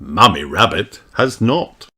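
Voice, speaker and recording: A man narrating a children's storybook aloud with an expressive, deliberate delivery. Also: on the low side, noisy background. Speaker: audiobook narrator.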